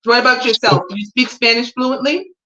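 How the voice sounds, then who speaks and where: A woman's voice: fairly high pitch, a little fast, bright, forceful tone. A woman from Jamaica in casual, chatty conversation, telling about herself and asking follow-up questions. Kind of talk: casual getting-to-know-you chat. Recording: dull, echoey room sound.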